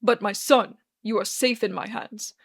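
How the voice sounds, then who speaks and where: Lady doing a stern preachy fatherly religious man, earnest and forceful, audiobook drama. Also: medium pitch, fairly even intonation, measured pace, vocal fry. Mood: pleading, firm. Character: stern, pious, paternal male religious mentor.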